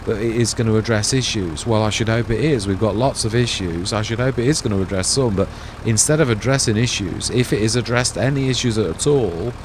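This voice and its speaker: A quiet-voiced man hosting a farming game let's-play, chatting in a meandering, self-correcting way. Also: lowish voice, fairly quick. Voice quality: soft-spoken, quiet voice. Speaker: gaming channel host. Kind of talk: rambling let's-play commentary. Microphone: laptop gaming setup. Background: noisy computer hum.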